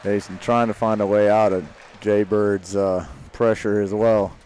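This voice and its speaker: A man's voice: on the low side, level tone, a little mellow, a little hiss or hum. A man in his late thirties giving chatty, rambling mat-side commentary at a grappling tournament. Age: 30s. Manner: chatty, rambling, quick to laugh.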